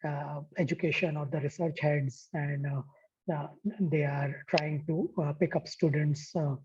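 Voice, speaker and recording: A man speaking in an online workshop discussion, halting with frequent fillers, heard over distant, muffled call audio. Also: a little high, flat delivery, background noise. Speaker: workshop participant.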